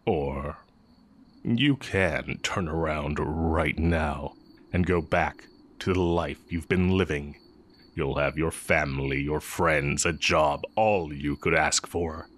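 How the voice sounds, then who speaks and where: Guy doing a super deep slow talking evil magic teacher, sly and teasing but kinda tender, intimate fantasy roleplay audio. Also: full character voice. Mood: coaxing, teasing. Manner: deliberate, teasing, with long pauses. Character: exiled heretic male magic teacher, sly, brooding, tender dark mage.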